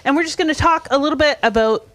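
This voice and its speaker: A young woman hosts a casual church podcast conversation. Her voice sits a little high, and she keeps her guests talking with encouraging okays and easy follow-up questions. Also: quick pace, fairly bright tone, dry recording. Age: young adult. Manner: friendly, encouraging prompts with many okays. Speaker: podcast host.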